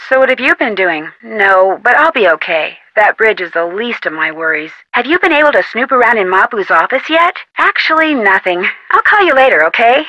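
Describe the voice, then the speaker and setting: A woman's voice: medium pitch, sharp tone, no echo, rough recording. A young woman with an American accent playing a friendly, inquisitive amateur detective in an adventure game, chatty and polite. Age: young adult. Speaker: video game character voice actor. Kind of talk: scripted adventure game dialogue, phone-call delivery.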